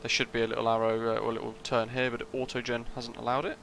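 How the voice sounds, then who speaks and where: Bright-voiced British guy streaming. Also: medium pitch, fast talker, vocal fry, a little hiss or hum. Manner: relaxed, rambling, many fillers, answers chat. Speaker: flight sim streamer. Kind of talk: casual live-stream commentary.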